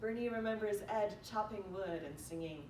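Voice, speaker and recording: A woman's voice: normal speed, darkish tone. A woman reading a prepared eulogy aloud in a storytelling way. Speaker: eulogy reader. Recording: echoey room, distant mic, noisy rumble.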